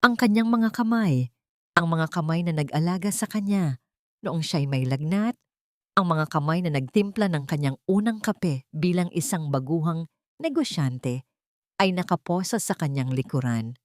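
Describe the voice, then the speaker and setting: A woman giving slow, solemn, scripted narration for an audio drama. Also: low-pitched, lively intonation, darkish tone, dry recording, studio quality.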